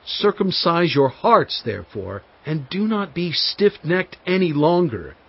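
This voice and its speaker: An actor playing a solemn prophet in dramatized scripture, exhorting his people in earnest, measured oratory with weighty pauses. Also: a little high, very animated, vocal fry, heavily acted. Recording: dull studio track over faint music.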